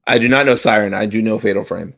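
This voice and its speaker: Gravelly low-voiced man chatting casually. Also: quick pace, a bit bright. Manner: rambling, frequent fillers and restarts, swears casually. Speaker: podcast co-host. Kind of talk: casual chat.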